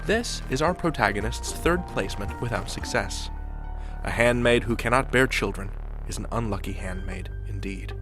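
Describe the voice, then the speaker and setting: A man's voice: on the low side, moderate pace. Male podcast host over music. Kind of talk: scripted narration, dramatic introduction.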